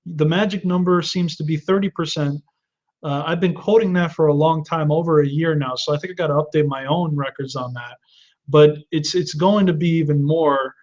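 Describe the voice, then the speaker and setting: A man's voice: on the high side, steady tone, moderate pace, darkish tone. Earnest man in his thirties, lo-fi audio. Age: 30s. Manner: earnest advice-giving with frequent restarts and fillers. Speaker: host answering viewer questions. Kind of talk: live webinar Q&A talk.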